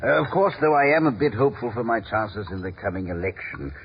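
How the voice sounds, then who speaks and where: Stuffy victorian english gent, hemming, voice swoops around, hissy dull 50s radio play. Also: male voice, fairly low pitch, animated, fairly quick. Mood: pompous, genial. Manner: hemming, pompous. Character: affable, self-important Victorian English gentleman. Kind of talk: 1950s radio drama acting. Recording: noisy dull 1950s radio recording.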